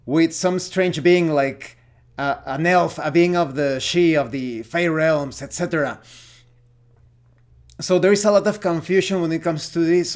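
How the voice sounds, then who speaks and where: A man presenting an opinionated explainer as a video host on tabletop role-playing games, talking in deliberate phrases broken by pauses. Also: a little high, darkish tone. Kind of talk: opinionated explainer talk to camera.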